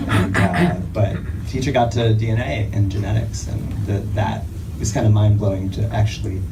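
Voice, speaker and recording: A man who researches insects, answering interview questions on stage in a halting, jokey way, often correcting himself. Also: lowish voice, fairly even intonation. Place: comedy theatre stage. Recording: distant mic with loud hiss.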